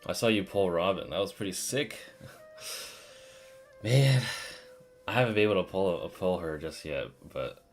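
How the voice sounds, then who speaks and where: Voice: low-pitched, animated, a little slow, long pauses, faint music behind. A game streamer chatting casually on a livestream in a slangy, irreverent way, swearing freely. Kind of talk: casual livestream gaming chat.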